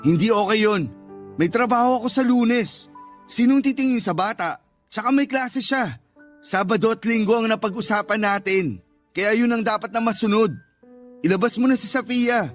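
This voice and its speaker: Casting: insistent divorced Filipino dad, aggrieved everyday talk, radio drama with a light music bed. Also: male voice, sits high, moderate pace, fairly bright tone. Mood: indignant. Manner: insistent, complaining, conversational. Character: aggrieved, stubborn divorced father standing his ground. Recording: music under the voice.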